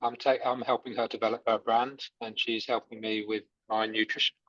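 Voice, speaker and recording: A man's voice: on the low side, little pitch movement, brisk, fairly bright tone, no echo. A man taking part in an online class, talking casually and a bit hesitantly over a video call. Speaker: participant. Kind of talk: casual chat. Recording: dull lo-fi dry video call audio.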